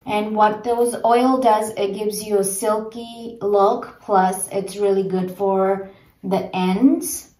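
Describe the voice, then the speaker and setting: A woman in her thirties chatting casually through a home hair tutorial, with many fillers and a gentle, way of talking, filmed on a propped phone in a small bedroom. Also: mid-range voice, fairly even intonation, slow. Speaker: beauty vlogger. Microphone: phone camera propped low. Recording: echoey small room.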